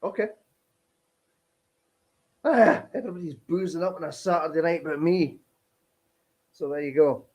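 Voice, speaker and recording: A man's voice: fairly high pitch, normal speed, long pauses, darkish tone. A man co-hosting a live stream, chatting playfully with mock-sulky banter. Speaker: stream co-host. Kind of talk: casual live-stream chat.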